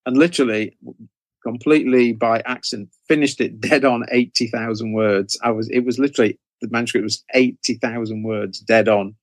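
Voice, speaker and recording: A British man in middle age chats as an interview guest, with a mid-pitched voice and a very dry recording. He tells anecdotes in a meandering way, often breaking off and restarting his sentences. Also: steady tone, a little fast, no echo. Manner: rambling, self-interrupting storytelling.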